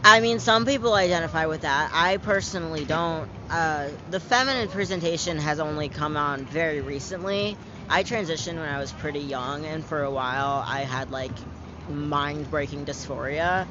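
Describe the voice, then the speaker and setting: A young man's voice, pitched a touch higher than usual for a guy, talking in a loose, unfiltered way with plenty of "like" and "um." He's recorded outdoors on a city street, and the sound is muffled under steady traffic noise. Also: moderate pace. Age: young adult. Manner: candid, chatty, frequent fillers and swearing. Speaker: interviewee. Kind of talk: casual street interview.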